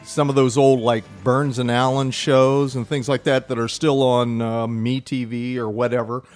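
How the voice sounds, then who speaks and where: A man in his seventies, a podcast guest explaining things casually and agreeably, with halting phrases. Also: medium pitch, flat delivery, soft background music. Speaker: local actor, podcast guest. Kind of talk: casual explanatory interview talk. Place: indoors at a small theatre.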